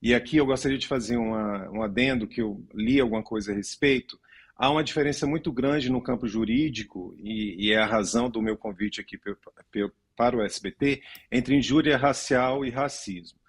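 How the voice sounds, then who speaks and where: A man from Pernambuco, a lawyer on a studio panel, warm and explanatory in a measured way. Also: medium pitch, breathy edge. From Pernambuco, Brazil. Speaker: lawyer, commentator.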